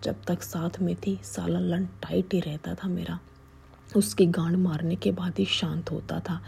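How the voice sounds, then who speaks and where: A woman's voice: fairly low pitch, little pitch movement, a little slow, gravelly, mellow, breathy edge, exaggerated voice. A woman whispering a coarse boastful old father-in-law. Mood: sly. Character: older man, crude and boastful father-in-law.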